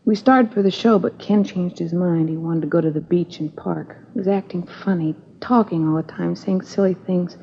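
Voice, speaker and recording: A woman's voice: fairly low pitch, moderate pace, hardly any pauses, lightly acted. An actress playing a worried, earnest teenage schoolgirl who answers police questions in a hesitant, chatty way, in 1950s radio drama style. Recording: dull old radio broadcast with hiss.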